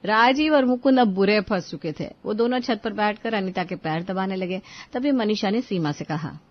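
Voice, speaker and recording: A woman's voice: medium pitch, moderate pace, muffled recording. A woman narrating a scripted story as the storyteller.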